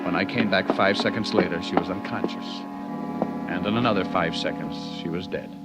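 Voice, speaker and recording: An actor playing a slick, sharp-tongued male private detective with a glib, sardonic manner in 1940s radio drama style. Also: sits low, a little fast, loud music behind the voice, natural voice, poor audio. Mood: somber narration.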